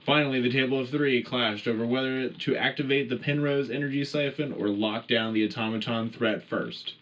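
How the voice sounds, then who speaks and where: A man's voice: mid-range voice, steady tone, fairly quick, a bit bright, highs cut off, natural voice. A man playing a patriotic wartime radio news anchor, hosting a scripted military broadcast with formal announcer delivery. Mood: solemn, rousing. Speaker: radio show host.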